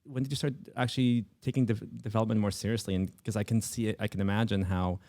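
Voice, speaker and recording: A man from Poland hosting an interview podcast, talking quickly with frequent restarts. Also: lowish voice, fairly even intonation, a little mellow. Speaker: podcast host.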